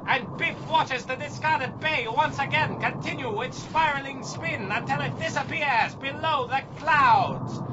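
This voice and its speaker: A man's voice: very high-pitched, background noise. A man playing a grandiose announcer narrating a melodramatic pulp space adventure radio serial. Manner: grandiose, theatrical. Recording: dull lo-fi audio under loud music.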